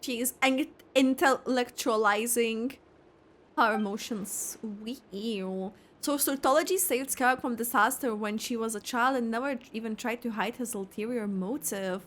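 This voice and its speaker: A woman giving casual, expressive live commentary on a video game, thinking aloud as she reacts. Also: on the high side, unhurried, a little hiss or hum. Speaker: gamer reacting to story quest.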